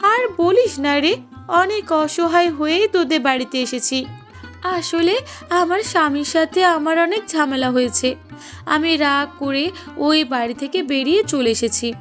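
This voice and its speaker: A woman's voice: sits high, unhurried, fairly bright tone, pitched above the performer's own voice. A woman voicing a needy, touchy young female friend in a cartoon, with a plaintive, emphatic delivery. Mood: pleading. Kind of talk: cartoon dialogue acting. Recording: voice over prominent music bed. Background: music.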